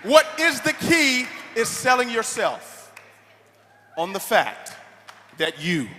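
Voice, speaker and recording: Young man, motivational stage speaker, high bright voice, shouting, long hall reverb. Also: big pitch swings, slow pace, a little hiss or hum. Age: 20s. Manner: leads the crowd in call-and-response, often shouting. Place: large event hall. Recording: hall reverb, faint crowd.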